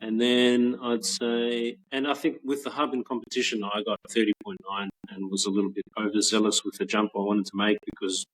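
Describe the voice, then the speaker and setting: A man around forty with an Australian accent, chatting as a guest on a video call in a relaxed, rambling way with frequent restarts. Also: fairly low pitch, brisk, few pauses, rough recording. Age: 40s. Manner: relaxed, rambling with many restarts and soft laughs. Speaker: guest, patent office trainer. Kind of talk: casual interview chat.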